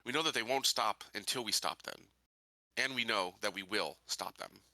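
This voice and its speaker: A man playing a resolute sheriff candidate, giving an earnest, measured public statement in a tabletop game. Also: lowish voice, sharp tone, no echo. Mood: earnest, determined. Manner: earnest, measured political speech.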